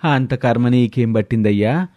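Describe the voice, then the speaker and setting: A man's voice: medium pitch, normal speed, warm tone, no echo, lightly acted. A man voicing a chatty, rustic older farmer neighbour in a story, folksy and resigned.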